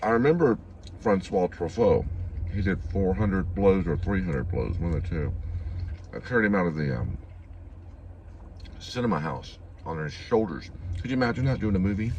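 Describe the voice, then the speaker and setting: A man's voice: low-pitched, lively intonation, moderate pace, long pauses, darkish tone, highs cut off, slight background noise. A man from Texas chatting casually as a vlogger, musing in a dry, wandering way. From Texas, United States. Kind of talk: casual rambling vlog chat.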